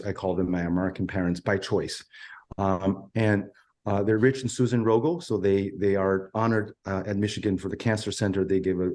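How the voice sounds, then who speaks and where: Low-voiced man with a Chinese accent. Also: a little mellow. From China. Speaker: violin professor and interview guest. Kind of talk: conversational interview answers.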